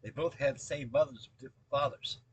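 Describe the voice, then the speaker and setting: A husky-voiced American man in his late seventies talks casually to viewers of his hobby channel. He has a mid-pitched voice and a halting, rambling storyteller's delivery, often circling back and repeating words. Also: muffled recording. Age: late 70s. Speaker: scale model builder and video host.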